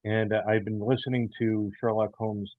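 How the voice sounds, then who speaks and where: A man answering interview questions as a writer, explaining himself in a halting way with many pauses. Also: on the low side, flat delivery, no echo, narrow, tinny audio. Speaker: audio theater writer and creator.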